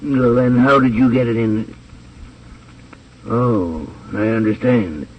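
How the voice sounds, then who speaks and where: An actor playing a cautious, businesslike American drug wholesaler with a hesitant manner in a 1950s radio drama. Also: male voice, medium pitch, animated, slow. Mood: wary. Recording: distant, dull, noisy old radio transcription.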